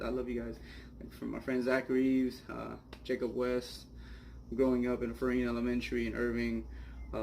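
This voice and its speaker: A man's voice: mid-range voice, gravelly, a little mellow, a little hiss or hum. A man in his late twenties from Bangladesh, bluntly telling his personal faith testimony in a casual, rambling way. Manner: blunt, candid, rambling with fillers. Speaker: testimony speaker. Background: guitar music.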